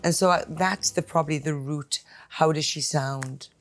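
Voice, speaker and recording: A middle-aged woman with a Welsh accent chatting animatedly in a quick question game, gasping and playfully emphatic. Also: low voice, soft background music. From Wales. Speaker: actress, interview guest. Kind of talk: casual rapid-fire chat.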